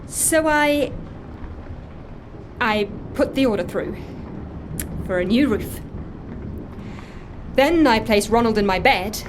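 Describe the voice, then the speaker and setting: A woman's voice: on the high side, expressive, slow pace, pauses often, background noise. An actress playing an anxious, self-justifying woman in an audio drama, telling her story in a fussy, emphatic, defensive way. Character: anxious, self-justifying woman confessing impersonation, earnest dog lover. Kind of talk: audio drama dialogue, first-person confession.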